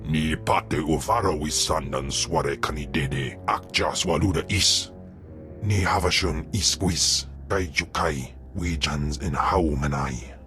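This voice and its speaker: Grave alien king, super deep and slow, solemn, video game. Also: a bit bright, soft background music, heavily acted. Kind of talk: video game voice acting in an invented alien tongue.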